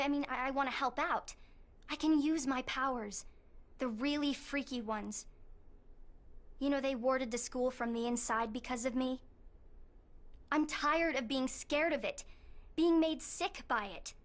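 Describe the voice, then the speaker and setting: An actress playing an anxious, eager teenage schoolgirl with frightening powers in a video game, talking informally, haltingly and earnestly. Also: sits high, a bit bright. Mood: earnest, frustrated. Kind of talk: video game cutscene acting.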